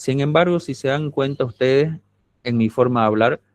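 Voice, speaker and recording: A man's voice: medium pitch, moderate pace, no room sound. A man from Santa Cruz, Bolivia, with a camba accent, lecturing a university class in a patient, explanatory way and often checking that students follow.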